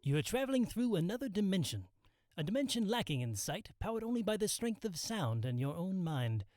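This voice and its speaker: A man's voice: high-pitched, big pitch swings, fairly quick, a little mellow, dry recording. A man acting as an eerie, theatrical narrator of an anthology mystery show, in a portentous, dramatic style. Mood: ominous, grand.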